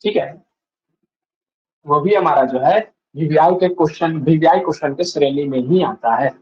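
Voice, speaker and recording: A man with a high voice teaches maths, walking through each step by asking a question and answering it himself. He says the key points more than once. The sound is dull and lo-fi, with an echo from the room. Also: moderate pace. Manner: asks and answers his own questions, repeats steps. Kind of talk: classroom maths lesson.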